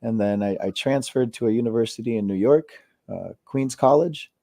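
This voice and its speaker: A man in his thirties, from Costa Rica, chatting casually as an interview guest, relaxed with many fillers and repeated words. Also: medium pitch, moderate pace, creaky, no room sound, clean studio sound. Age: 30s.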